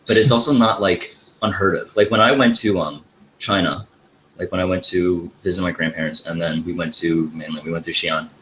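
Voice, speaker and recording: A man's voice: low voice, steady tone, brisk, a bit bright, a little hiss or hum, rough recording. A young man talking as a podcast guest in a casual, laid-back way, saying "like" a lot. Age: young adult. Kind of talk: casual chat.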